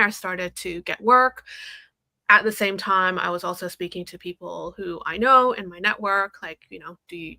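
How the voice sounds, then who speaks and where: Bright-voiced woman from Hong Kong. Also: mid-range voice, no echo. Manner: chatty, laughs often, many fillers. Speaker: guest, brand designer. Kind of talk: casual interview chat.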